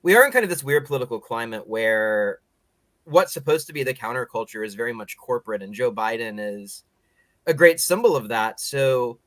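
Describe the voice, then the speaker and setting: A man's voice: mid-range voice, animated, normal speed, a bit bright, dry recording. A man hosting a podcast interview in a casual, rambling, opinionated way, peppering his talk with "like". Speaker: podcast host interviewing guests.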